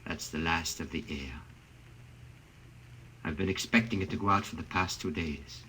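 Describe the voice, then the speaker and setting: An earnest young explorer. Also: male voice, sits low, normal speed, bright, forceful tone, low-quality recording. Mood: hushed. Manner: earnest, tender with his wife. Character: devoted young male explorer, husband to Ava. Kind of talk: 1950s radio drama acting. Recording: hissy old radio broadcast.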